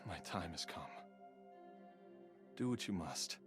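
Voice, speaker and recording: A man voicing a weary, devoted father in a video game, halting and heartfelt. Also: low-pitched, animated, music in the background. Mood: resigned, tender. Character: weary, devoted husband and father, tender and resigned.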